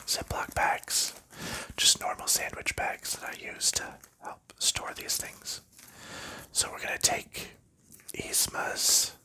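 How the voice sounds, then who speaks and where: A man whispers for an ASMR video in a very bright, airy tone. His talk rambles at an unhurried pace, full of little fillers and soft laughs. He chats as he sorts board game pieces. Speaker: ASMR creator.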